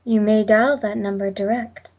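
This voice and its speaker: An actress playing an impassive telephone operator, speaking with measured, formal politeness in 1940s radio drama style. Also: female voice, mid-range voice, steady tone, dull audio, lightly acted. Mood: detached, polite. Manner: measured, detached, scripted politeness.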